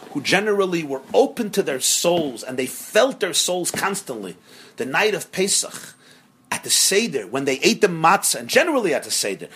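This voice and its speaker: A rabbi teaching a class on a religious text, earnest and emphatic, who repeats phrases for stress and sprinkles in Hebrew and Yiddish terms. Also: male voice, high-pitched, a bit bright, husky. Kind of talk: explaining a religious text to students.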